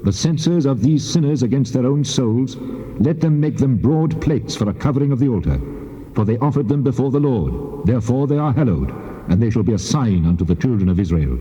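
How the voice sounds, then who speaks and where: An actor playing God in dramatized scripture, solemn, commanding and deliberate, with a cavernous reverb on his voice. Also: mid-range voice, lively intonation, dark tone. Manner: solemn, deliberate, authoritative pronouncements. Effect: heavy cavernous reverb. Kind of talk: dramatized scripture, archaic English. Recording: muffled, reverberant hall, old tape.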